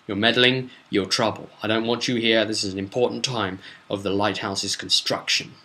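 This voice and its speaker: A man's voice: lowish voice, fairly even intonation, moderate pace, bright, forceful tone. A British man voicing a long-winded, contemptuous city authority who scolds and threatens adventurers in a role-play recap. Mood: threatening.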